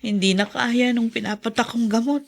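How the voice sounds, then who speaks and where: A high-pitched, anxious mother in a Filipino radio drama. She is middle-aged, self-sacrificing and pleading, with emotion running through her delivery. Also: fairly even intonation, moderate pace, gravelly. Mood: pained, worried.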